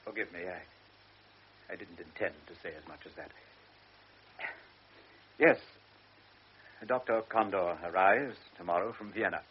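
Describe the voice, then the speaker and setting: An actor playing a frail, anxious elderly baron who is a devoted father. He speaks earnestly, stammers and drops into hushed tones. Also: low-pitched, unhurried, long pauses. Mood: pleading. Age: older. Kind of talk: classic radio drama acting. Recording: lo-fi radio recording with faint hiss.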